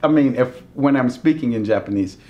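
A man's voice: medium pitch, brisk, dull audio. A middle-aged man, a voice actor, chatting in an interview, acting out quotes and laughing easily in a dim hotel room. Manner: animated storyteller, giddy laughter, acts out quotes. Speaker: voice actor guest. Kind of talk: casual interview chat.

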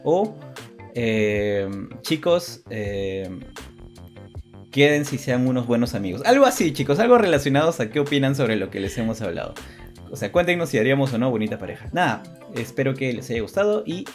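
A man's voice: mid-range voice, big pitch swings, moderate pace. Young man chatting hesitantly over faint music. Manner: hesitant, repeats phrases. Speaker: co-host of an online chat show. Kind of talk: casual chat to viewers.

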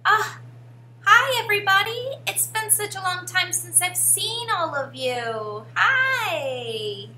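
Squeaky, very high puppet preschool girl. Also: animated, takes it slowly, sharp tone, slight background noise, full character voice. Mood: delighted. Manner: gushing, eager. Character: excitable young purple puppet preschool girl. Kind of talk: children's puppet show over video call. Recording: echoey video call.

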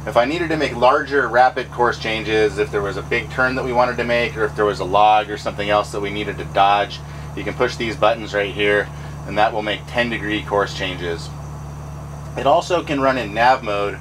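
A man giving a relaxed, practical walkthrough of his boat's helm electronics, talking casually with fillers. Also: on the low side, noisy background. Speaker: boat owner giving a tour. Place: pilot house of a trawler yacht underway.

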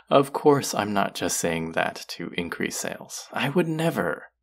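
A man's voice: on the low side, very animated, takes it slowly, gravelly, heavily acted. A man voicing a friendly, teasing young male barista in an audio roleplay, chatty and playful toward a regular customer.

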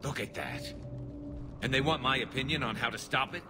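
An actor playing a loyal alien soldier and former vigilante in a video game, with a dry, wry manner. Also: male voice, medium pitch, expressive, fairly quick, music in the background, natural voice. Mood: wry, uneasy. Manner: dry, wry, self-deprecating. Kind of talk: video game cutscene acting. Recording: battlefield ambience behind.

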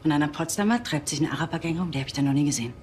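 A woman's voice: low voice, rapid-fire, noisy background, close to an everyday voice. An actress playing a tough police detective in her thirties who talks blunt, streetwise German. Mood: determined. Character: tough young female Berlin police detective. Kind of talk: crime series drama.

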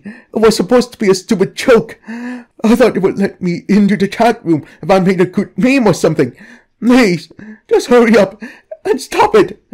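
A panicked young internet prankster , acted by a man, sick with remorse in a horror story. The high voice swoops as he pleads haltingly and tearfully for someone to help. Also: a little mellow. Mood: tearful, desperate.

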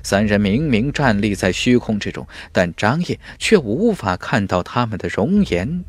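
A man's voice: fairly low pitch, very animated, normal speed, no room sound. A man narrating a fantasy audiobook in a dramatic, emphatic storytelling manner. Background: music.

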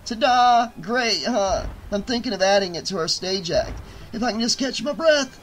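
A man's voice: high voice, animated, moderate pace, a bit bright, a little hiss or hum, voice pushed up, poor audio. A man voicing a laid-back, chirpy dead stage performer in an audiobook, casual and showy. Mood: showy, cheerful.

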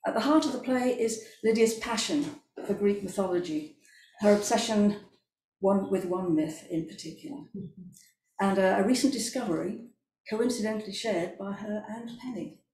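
A woman's voice: mid-range voice, moderate pace, darkish tone. An older British woman, a playwright, giving a hesitant prepared speech in a formal parlour. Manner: hesitant, unpractised. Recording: echoey room, faint noise.

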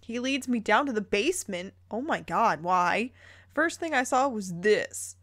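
A woman's voice: medium pitch, expressive, measured pace, fairly bright tone, dry recording, studio quality. A woman reading fan fiction aloud, dropping casual, incredulous, playful asides as she goes.

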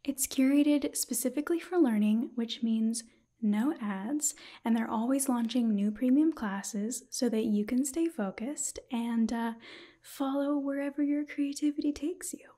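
A woman in her twenties presenting softly in an ASMR style, with a breathy, often whispered voice. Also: sits high, measured pace. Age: 20s. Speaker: ASMR video creator reading a sponsor message. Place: small indoor room.

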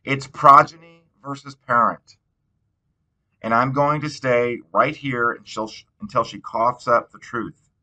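A man's voice: medium pitch, fairly even intonation, unhurried, pushed and bright, low-quality recording. A man voicing a sarcastic, stubborn fifteen-year-old girl from a novel, pointed and insistent.

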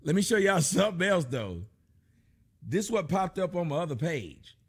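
Man in his 40s, stream host, loud mocking rant, lively pitch. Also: normal speed, husky. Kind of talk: ranting commentary on live streams. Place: home room with a fireplace.

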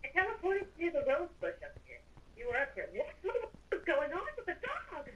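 Woman, bright forceful voice, casual gaming roleplay, off the mic, faint noise. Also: very high voice, wildly swooping pitch, a little fast, distant mic, dull audio. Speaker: player in a group game roleplay.

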